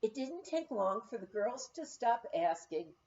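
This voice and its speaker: A woman reading a humorous memoir aloud as scripted narration, in an unhurried storytelling manner, on a slightly dull recording. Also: on the high side, sing-song, darkish tone. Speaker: audiobook reader.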